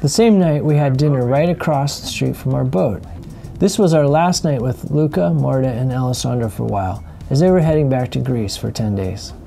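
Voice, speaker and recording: A middle-aged man narrates a sailing vlog in a calm, explanatory voice-over. His voice is gravelly and mellow, with a touch of dry humor. Faint music plays under him. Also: mid-range voice, normal speed.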